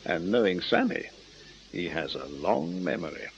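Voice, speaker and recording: A man's voice: sits low, big pitch swings, creaky, heavy background noise, heavily acted. An actor playing a canny former music-hall memory man, coaxing and slyly patient, in a radio crime drama. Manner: coaxing, teasing, deliberate. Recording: muffled radio drama recording.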